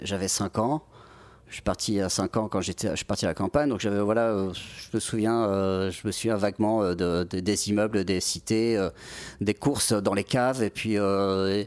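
Man, 40s, from the Paris region, lowish voice, easygoing and hesitant interview guest. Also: darkish tone, clear, resonant tone. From Paris region, France. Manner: hesitant, many restarts and fillers, laughs often. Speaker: metal singer, guest. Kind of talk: casual interview chat.

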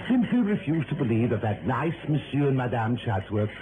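An actor playing a fussy, indignant hotel manager in an old radio drama. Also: male voice, medium pitch, very animated, a little fast, narrow, tinny audio, lightly acted. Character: prissy, stubborn French hotel manager in New Orleans. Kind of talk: 1950s-60s American radio drama acting. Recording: noisy, thin vintage radio recording.

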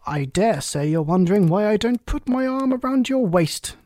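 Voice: mid-range voice, expressive, normal speed, dark tone, dry recording, pitched above the performer's own voice, natural voice. A reader voicing a pompous, moralizing and cloyingly agreeable Duchess, a rambling, self-pleased woman, in a storybook. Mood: coy, moralizing.

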